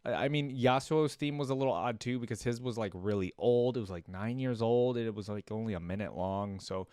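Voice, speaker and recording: A man's voice: on the low side, a little fast, darkish tone, smooth, dry recording, studio quality. A man giving casual, informal reaction commentary as a video host, thinking aloud with plenty of filler words. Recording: music playing behind the voice.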